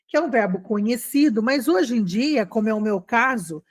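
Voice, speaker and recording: A woman with a Brazilian accent giving a conversational online language lesson, encouraging and animated, often checking in with short questions to her students. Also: a little high, normal speed. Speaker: online English teacher.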